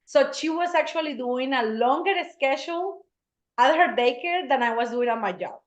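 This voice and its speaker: Woman, bright and chatty. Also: high voice, animated, moderate pace. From Colombia. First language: Spanish. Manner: laughs easily, earnest and chatty. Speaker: guest, real estate agent. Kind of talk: casual interview talk. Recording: echoey, dull-sounding room.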